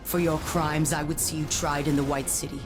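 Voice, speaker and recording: A woman's voice: low voice, level tone, normal speed, over music, close to an everyday voice. A woman playing a stern, righteous angel warrior commander in a video game, with formal, solemn and commanding delivery. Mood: solemn vengeful. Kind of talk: video game cutscene acting.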